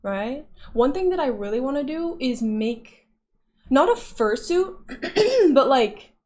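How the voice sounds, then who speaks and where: Casting: woman streamer, fairly high voice, chatty and playful, casual talk with her audience. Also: big pitch swings, slow, a little mellow. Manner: chatty, playful, often laughing. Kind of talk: casual livestream chat reading viewer messages.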